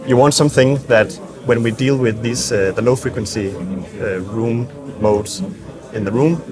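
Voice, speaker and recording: A man's voice: mid-range voice, measured pace, over music. A man giving technical explanations as an expert in a casual interview, hesitant and repeating words as he goes. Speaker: acoustic engineer, interviewed expert.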